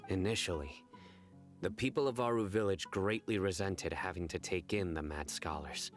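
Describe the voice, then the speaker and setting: An actor playing a serious, measured young male investigator in a video game, speaking formally and deliberately. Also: deep voice, close to an everyday voice. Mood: solemn storytelling. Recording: plucked-string music behind the voice.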